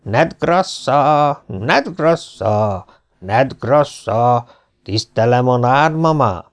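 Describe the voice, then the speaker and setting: A man voicing a bearded, sly Turkish fruit vendor who tirelessly hawks his wares in hoarse, hollow, drawn-out, repeated cries. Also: medium pitch, sing-song. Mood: tireless hawking. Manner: drawn-out, repetitive hawker's cries.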